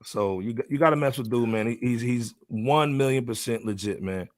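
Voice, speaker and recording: Man, podcast host, casual panel chat, slangy, informal, mid-pitched, dry sound. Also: fairly quick, no echo.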